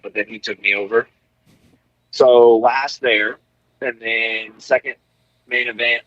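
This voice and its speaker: A man's voice: medium pitch, no room sound. A young man recounts his race night in an easygoing, filler-heavy ramble. His voice comes through a remote call line that sounds muffled and a little lo-fi. The sound is dry, with no room around it. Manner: relaxed storytelling with many fillers. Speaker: guest, pro motocross racer. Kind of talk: casual interview over a call.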